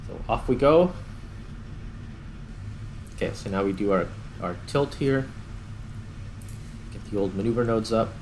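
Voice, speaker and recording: Low-pitched man casually narrating his gameplay. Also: unhurried, long pauses, a little mellow, a little hiss or hum, low-quality recording. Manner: thinking aloud, informal. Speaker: gaming video host. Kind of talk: casual let's-play commentary.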